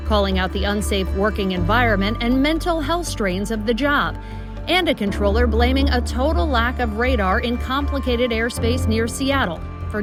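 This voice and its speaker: An American woman reading scripted investigative news narration as a television reporter. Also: on the high side. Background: music bed.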